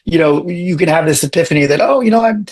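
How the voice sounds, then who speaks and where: A man with a raspy voice talks as a podcast guest, and his pitch sits a little higher than most men's. He is animated and talks in quick bursts, often restarting his sentences. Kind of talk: casual interview chat.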